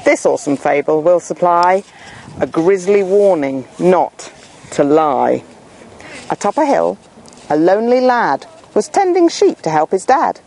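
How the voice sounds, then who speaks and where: A woman reading a rhyming storybook aloud to children in an expressive, theatrical way. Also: fairly low pitch, unhurried.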